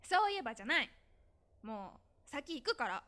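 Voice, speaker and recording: A woman acts out a high-pitched teenage schoolgirl in a voice drama. She is bossy and exasperated, with an emphatic, scolding delivery and a teasing edge. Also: sing-song, normal speed, sharp tone, heavily acted. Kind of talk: Japanese voice drama phone-call dialogue.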